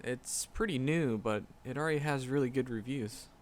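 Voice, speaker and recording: A man's voice: mid-range voice, moderate pace, close to an everyday voice. A man playing a shy, nervous magic professor in a roleplay audio drama, skittish and earnest, stammering and repeating words as he talks to the listener. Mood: nervous, friendly.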